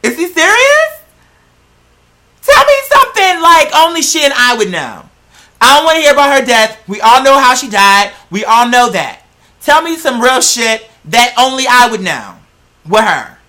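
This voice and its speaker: A woman's voice: high voice, very animated, normal speed, sharp tone. A woman giving casual, blunt, exasperated reaction commentary with swearing, recorded indoors at a computer microphone. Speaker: vlogger reacting to a video. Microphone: plug-in computer microphone.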